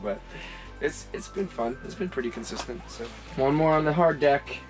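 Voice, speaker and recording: A young American man chatting excitedly while ice fishing, recorded on an action camera inside a small tent. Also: on the high side, normal speed, over music, poor audio. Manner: excited, upbeat, cheering catches. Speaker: vlog co-host. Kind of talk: casual outdoor chat.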